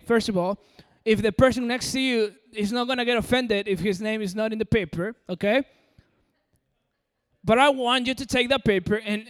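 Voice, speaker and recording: Preacher: man from Peru with an American-sounding accent, high voice, hall reverb. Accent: American-sounding English. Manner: direct and coaxing, addresses the audience. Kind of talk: sermon.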